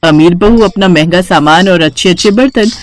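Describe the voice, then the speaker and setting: A woman's voice: on the low side, normal speed. A woman narrating a scripted children's bedtime story.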